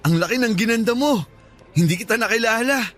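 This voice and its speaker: An oblivious, playful suitor in middle age. His voice sits high for a man as he coaxes and teases insistently, in Filipino radio romance style over faint music. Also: brisk, fairly bright tone. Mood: playful, flirtatious. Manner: coaxing, teasing.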